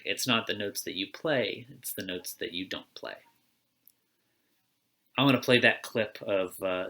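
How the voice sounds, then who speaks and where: A man's voice: fairly low pitch, pushed and bright. A man giving a conversational church reflection, plain-spoken and putting questions straight to his listeners. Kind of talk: conversational sermon on a personal theme.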